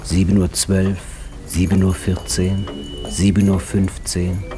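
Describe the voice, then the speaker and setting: Narrator, male: lowish voice, literary radio drama storytelling, intense and measured, over clear music. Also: monotone.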